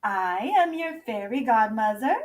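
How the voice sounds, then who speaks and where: A woman's voice: on the high side, animated, unhurried, recorded from a distance. Woman acting a kindly, lilting fairy godmother. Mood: kindly, brisk. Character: kindly, brisk little fairy godmother casting spells.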